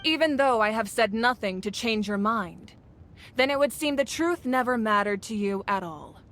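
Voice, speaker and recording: A woman voicing a composed, authoritative female ruler in a video game, speaking with measured calm. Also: fairly high pitch, a little hiss or hum. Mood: challenging. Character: commanding yet gentle female ruler of a nation. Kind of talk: game voice acting.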